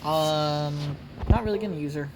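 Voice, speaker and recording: A man's voice: fairly high pitch, moderate pace, heavy background noise. A man giving casual game commentary as a streamer, reading dialogue aloud. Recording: loud game music behind voice. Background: game music.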